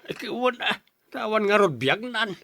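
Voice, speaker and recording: A concerned father in a radio drama, questioning and coaxing. His pitch swings widely and the sound is close and dry. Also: big pitch swings, moderate pace, pushed and bright, no room sound, exaggerated voice.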